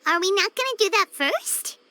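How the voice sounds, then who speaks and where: A woman voicing a tiny, excitable female fairy companion who talks about herself in the third person, in a video game. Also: very high-pitched, moderate pace, full character voice. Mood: eager.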